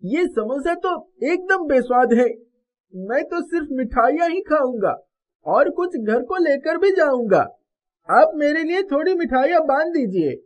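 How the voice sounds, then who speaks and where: A man's voice: very high-pitched, sing-song, a little slow, exaggerated voice. A man voicing an enthusiastic, fussy customer at a food stall in a moral story cartoon. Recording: dull muffled audio.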